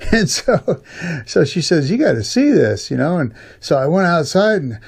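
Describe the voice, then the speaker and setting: A middle-aged American man with a gravelly voice, telling personal stories in a casual, folksy, halting way as a channel host. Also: a little high, big pitch swings. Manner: folksy, informal, halting delivery with frequent "you know". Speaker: YouTube storyteller and channel host. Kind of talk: casual rambling personal storytelling.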